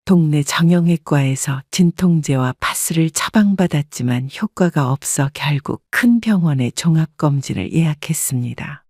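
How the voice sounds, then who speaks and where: Calm, reflective woman narrator. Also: low voice, moderate pace, few pauses, no echo, clean studio sound. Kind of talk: scripted first-person story narration.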